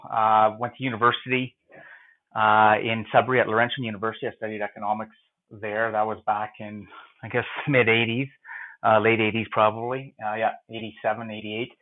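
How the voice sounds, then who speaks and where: A man in his fifties from Northern Ontario with a Canadian accent, telling his career story in an interview with many fillers and restarts. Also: medium pitch. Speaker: guest, former brewery executive. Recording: tinny phone-like line.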